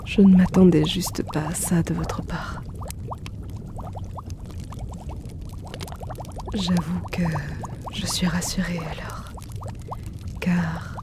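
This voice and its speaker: Casting: woman as courteous fantasy potion seller, lowish voice, very unhurried, gently teasing roleplay delivery, noisy bubbling backdrop. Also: creaky. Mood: amused, reassuring. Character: courteous female potion shopkeeper in a fantasy world. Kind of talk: French roleplay audio, gentle scripted in-character address.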